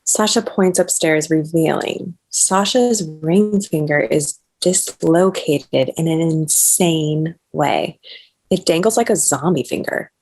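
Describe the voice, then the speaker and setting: Lower-voiced woman reading stage directions. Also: takes it slowly, darkish tone. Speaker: narrator.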